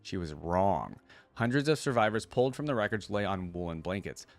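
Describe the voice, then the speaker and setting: A man's voice: sits low, animated, fairly quick. A man hosting a reaction video, reading fantasy lore aloud and adding casual commentary.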